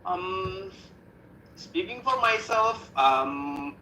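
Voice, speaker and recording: A young man with a Bangladeshi English accent gives long, searching answers, his voice bright and a touch high. The call is echoey with faint noise. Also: lively intonation, drawn-out words. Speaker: practice student. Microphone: video call.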